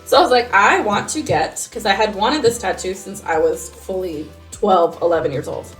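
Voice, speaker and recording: A woman's voice: medium pitch, normal speed, a bit bright, faint music behind, low-quality recording. A young woman co-hosting a casual podcast, chatting in an informal, slangy way. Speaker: podcast co-host. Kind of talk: casual chat.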